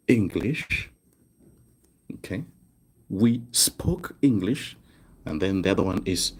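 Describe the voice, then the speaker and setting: A man teaching German online, slowly repeating each phrase word by word and checking in with "right?". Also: fairly low pitch, slightly rough. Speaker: German tutor. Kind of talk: online language lesson, writing on cards. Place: desk indoors.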